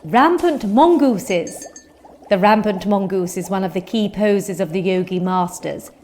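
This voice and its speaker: A woman's voice: mid-range voice, measured pace, darkish tone, slight background noise, lightly acted. A woman playing an eccentric, earnest, rambling one-eyed witch-alchemist in a comic audio drama. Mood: earnest, mystical.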